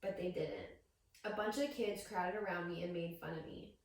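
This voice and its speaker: A woman reading a picture book aloud to young children as a teacher, with expressive storytelling and questions to her listeners. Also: fairly low pitch, moderate pace. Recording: very echoey room, distant microphone.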